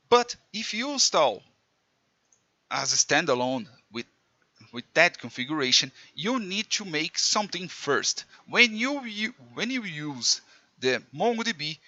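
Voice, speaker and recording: A man from Brazil with a Brazilian Portuguese accent, a native Portuguese speaker teaching programming in halting English with many restarts. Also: mid-range voice, pushed and bright, no room sound, lo-fi sound. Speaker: programming tutor. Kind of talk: screen-recorded coding tutorial.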